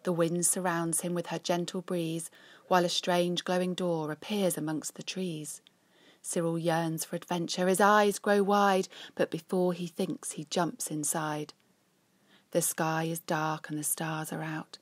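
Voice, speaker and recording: A woman reading a children's story in an expressive, measured and dramatic way. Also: fairly low pitch, dry recording.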